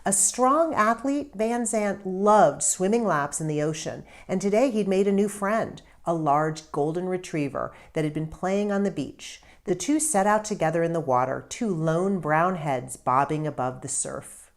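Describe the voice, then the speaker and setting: A woman with a fairly low voice reads aloud as an author sharing a story. Her narration is expressive and dramatic. She phrases things deliberately and leans on key words with emphasis. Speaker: author reading own book aloud.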